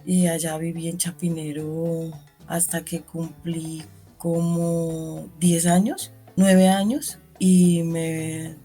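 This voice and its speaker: A woman's voice: deep voice, steady tone, slow pace, dark tone, faint music behind. A woman with a Bogotá accent, a community educator telling her life story in an interview, reflective and full of restarts. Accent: Bogotá Spanish. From Colombia.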